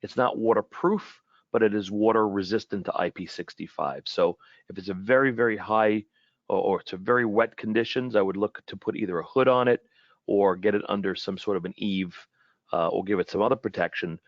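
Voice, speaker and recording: A man giving an informal webinar product presentation in a halting way, often repeating and rephrasing his words. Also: fairly low pitch, no echo, lo-fi sound.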